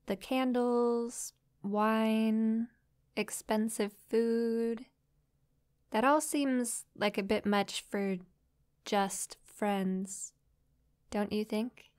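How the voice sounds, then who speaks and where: Woman acting a sweet, clear-voiced, unhurried succubus. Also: fairly high pitch. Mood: curious, shy. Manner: soft, playful, sweetly inquisitive. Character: friendly, curious young female succubus new to human life. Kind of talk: intimate roleplay audio drama, addressed to the listener.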